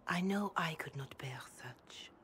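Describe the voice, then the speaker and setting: A woman playing an older, pious holy woman in a video game, speaking gravely and gently in measured, formal phrases. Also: low-pitched, background noise. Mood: solemn, grave. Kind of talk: dramatic video game dialogue.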